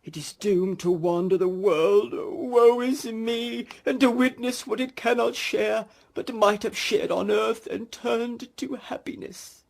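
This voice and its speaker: A man voicing the mournful ghost of a dead businessman in a storybook reading, with slow, solemn, drawn-out and doom-laden delivery. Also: high-pitched, creaky, pitched above the performer's own voice, exaggerated voice. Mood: mournful, ominous.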